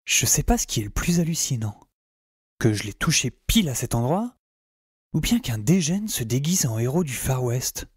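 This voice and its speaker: An actor playing a wry, excitable young male survivor narrating his wasteland adventure in a wordy, theatrical, self-mocking way for an audio saga. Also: mid-range voice, normal speed, slightly rough, dry recording, studio quality. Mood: amazed, determined. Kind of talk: dramatised first-person audio saga narration. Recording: loud music bed under the voice.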